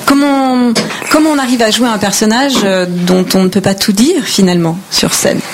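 A woman's voice: medium pitch, normal speed. A woman chatting informally as an interviewer, with encouraging little interjections. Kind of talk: casual interview chat. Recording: clear background noise, room ambience.

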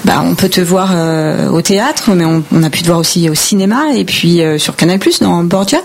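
A woman's voice: lowish voice, moderate pace. A woman chatting informally as an interviewer, with encouraging little interjections. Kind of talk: casual interview chat. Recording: clear background noise, room ambience.

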